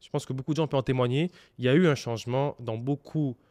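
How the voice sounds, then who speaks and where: A man with a Québécois French accent hosts a casual podcast. His voice has an ordinary mid-range pitch. He speaks informally and often restarts his sentences. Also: fast talker, darkish tone. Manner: informal, hesitant, many fillers and restarts. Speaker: podcast host.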